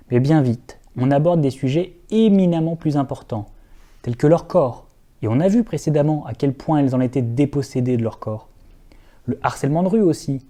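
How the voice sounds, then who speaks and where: A man's voice: mid-range voice, warm tone. A young man hosting a scripted video essay, earnest and direct, with occasional wry asides to his viewers.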